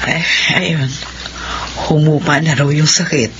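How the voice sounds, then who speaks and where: A woman's voice: low-pitched, takes it slowly, muffled recording, noisy background. An actress playing an anguished, caring mother in an emotional Filipino radio drama. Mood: weary, worried. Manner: emotional, expressive. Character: anguished, caring mother of an ailing adult son. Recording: radio drama with loud music underneath.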